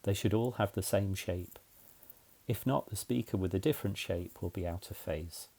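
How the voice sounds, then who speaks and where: A man's voice: low voice, moderate pace, gravelly, darkish tone. A man narrating a scripted explainer in a methodical, plain-spoken way, as a tutorial presenter.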